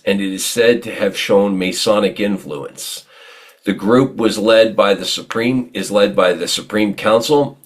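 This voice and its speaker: A man hosting an informal interview, speaking in a halting, conversational way and repeating words. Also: lowish voice. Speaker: interviewer and show host.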